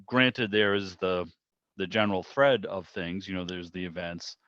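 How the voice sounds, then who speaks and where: A man's voice: deep voice, fairly even intonation, moderate pace, fairly bright tone, smooth, no room sound. A middle-aged man from the Boston area reminiscing in a chatty, rambling way on a video call. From Boston area, United States. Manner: chatty, peppered with "you know". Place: online video meeting. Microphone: video call microphone. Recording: dull video call audio.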